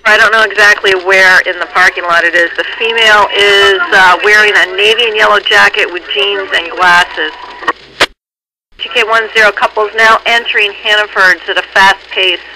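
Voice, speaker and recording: High-voiced male dispatcher on noisy police radio. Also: steady tone, normal speed. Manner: procedural, clipped phrasing.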